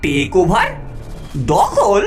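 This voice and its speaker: A woman voicing a centuries-old fish-ghost alien secret agent in a cartoon, excitable and soft-hearted, dramatically exclaiming and pleading. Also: medium pitch, very slow, over loud music, heavily acted. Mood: dismayed. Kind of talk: animated cartoon voice acting.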